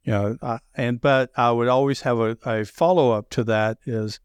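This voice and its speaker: A middle-aged man with a deep Southern accent, talking loudly and telling sales stories with many restarts. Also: normal speed, clear, resonant tone, dry recording, studio quality. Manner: loud, animated storyteller with frequent restarts. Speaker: sales podcast guest. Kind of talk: casual conversation.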